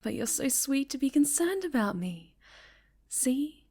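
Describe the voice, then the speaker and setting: A woman playing a sinister, possessive female superhero villain in an ASMR roleplay, speaking softly with sweet menace and often whispering. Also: high voice, sing-song, full character voice. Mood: coyly sweet. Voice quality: soft, breathy, often whispered. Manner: slow, sweetly menacing, coaxing.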